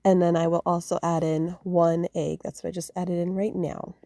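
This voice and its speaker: A woman's voice: fairly low pitch, level tone, moderate pace, dark tone, dry recording. A woman who is getting over a cold, narrating a casual home cooking vlog in a chatty, rambling way full of fillers and restarts. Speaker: homestead vlogger. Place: home kitchen.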